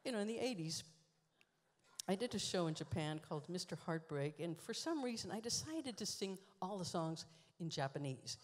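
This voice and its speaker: A woman telling dry, musing stories with deliberate pauses, performing a spoken-word monologue into a stand microphone on a large theater stage. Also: on the low side, very animated. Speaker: performance artist reading her book on stage. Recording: live hall reverb.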